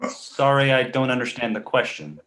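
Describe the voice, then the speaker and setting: A man's voice: medium pitch, lively intonation, normal speed, fairly bright tone, slight background noise, close to an everyday voice. A man playing a precocious, sarcastic two-month-old baby boy who talks like an articulate adult, in a comedy table read. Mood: snarky complaint. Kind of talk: live table read of an animated comedy script. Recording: echoey room sound.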